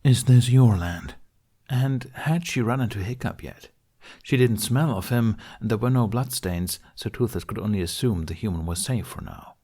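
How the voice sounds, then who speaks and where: A male narrator reads a fantasy audiobook. His voice sits somewhat low, and he delivers scripted storytelling prose. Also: animated, moderate pace, a little mellow.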